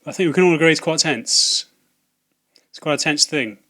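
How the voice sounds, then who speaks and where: A slightly hoarse man, talking casually to camera about video game purchases, hesitant with many ums and restarts. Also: fairly high pitch, brisk, bright, forceful tone. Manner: hesitant, lots of ums and restarts, wry asides. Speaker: video game collecting vlogger. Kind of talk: casual rambling vlog to camera.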